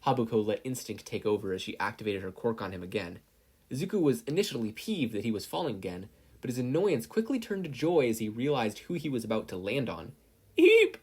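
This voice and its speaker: A man's voice: mid-range voice, lively intonation, fast, darkish tone, husky. A man reading a story aloud as scripted narration. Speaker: podfic reader, narrator.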